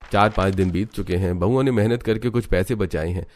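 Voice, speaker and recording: A man's voice: sits low, fairly quick, darkish tone, lightly acted. A man narrating a scripted cartoon family story as the storyteller.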